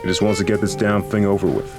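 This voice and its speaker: Music and rain play under a man's deep voice. He narrates a scripted noir horror tale gravely and dramatically, as a storyteller in an audio drama. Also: flat delivery, quick pace. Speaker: narrator and voice actor.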